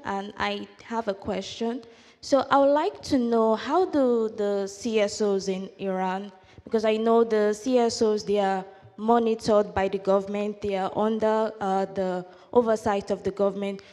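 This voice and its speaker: A woman's voice: mid-range voice, darkish tone. Young Nigerian woman, student questioner, courteous, reverberant hall. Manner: polite, measured questioning. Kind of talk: question at a forum. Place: large conference hall.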